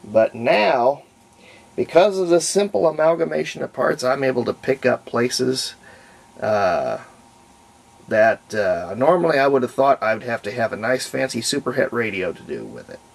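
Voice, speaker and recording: Casting: American man, folksy hobbyist demonstrator with a mid-pitched voice, colloquial and thinking out loud. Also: expressive, moderate pace, gravelly, husky. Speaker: hobbyist demonstrating homemade radio. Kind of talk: casual hands-on demonstration.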